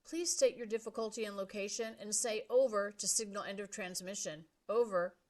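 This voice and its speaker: Lady reading as a radio guy, clipped and formal, kinda loud and firm. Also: medium pitch, fairly even intonation, normal speed, lightly acted. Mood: brisk. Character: calm adult male radio operator.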